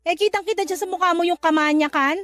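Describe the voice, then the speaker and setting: A woman playing a sharp-tongued, irritated young mother in a Tagalog radio drama, snappy, sarcastic and dismissive. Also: extremely high pitch, quick pace.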